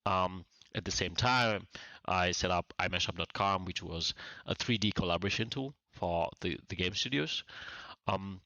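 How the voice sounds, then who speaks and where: A man from Vietnam in his forties, a startup founder as a podcast guest, talking casually and haltingly with many restarts. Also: low-pitched, pushed and bright, no room sound. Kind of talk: casual interview talk. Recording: dry, dull lo-fi sound.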